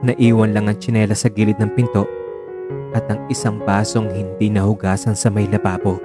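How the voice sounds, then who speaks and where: A man's voice: on the low side, little pitch movement, normal speed. A man narrating an audio drama with scripted, storytelling delivery. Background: faint music.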